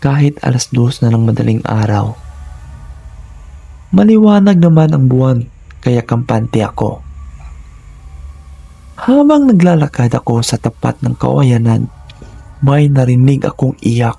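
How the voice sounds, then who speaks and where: A man's voice: medium pitch, expressive, unhurried, creaky, pauses often, dark tone, husky, dry recording. A man narrating scripted first-person horror stories in a dramatic, suspenseful manner, in short phrases.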